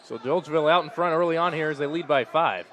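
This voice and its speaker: A man's voice: a little high, fast. A man giving live play-by-play commentary of a basketball game as the announcer. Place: indoor basketball gym during a game. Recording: loud gymnasium noise, bouncing basketballs.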